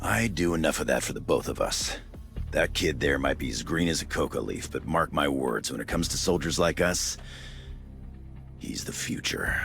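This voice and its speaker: An actor playing a seasoned, wry special forces team leader in a game, steady, dry and commanding. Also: male voice, extremely low pitch, moderate pace, gravelly, faint music behind, heavily acted. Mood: wry, proud.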